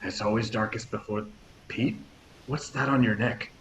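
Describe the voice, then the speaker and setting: A man's voice: fairly low pitch, steady tone, normal speed, a bit bright, a little hiss or hum, poor audio. A man playing an injured but commanding spaceship captain in a sci-fi table read, direct and encouraging. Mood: watchful.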